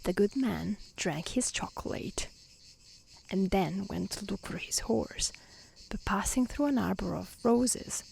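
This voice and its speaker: A woman reading a storybook aloud in a soft, breathy, half-whispered ASMR style, gently and carefully. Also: medium pitch, a little slow. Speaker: narrator reading a fairy tale. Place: outdoors in nature. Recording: faint outdoor insect noise. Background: crickets and insect ambience.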